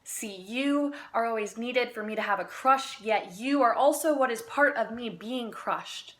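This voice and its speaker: High-voiced woman reciting slam poetry. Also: a bit bright. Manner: deliberate phrasing with dramatic pauses, earnest. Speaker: amateur slam poet.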